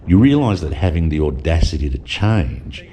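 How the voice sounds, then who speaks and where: A man's voice: extremely low pitch, big pitch swings, moderate pace, warm tone. A middle-aged man reading a reflective voice-over with wry asides. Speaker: vlogger narrator.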